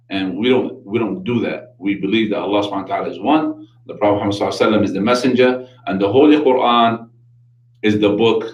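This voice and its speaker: A man's voice: lowish voice, roomy sound, low-quality recording. A man with a New York accent, raised in Brooklyn, hosting a casual religious talk show and explaining his faith earnestly with many Arabic religious phrases. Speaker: radio show host.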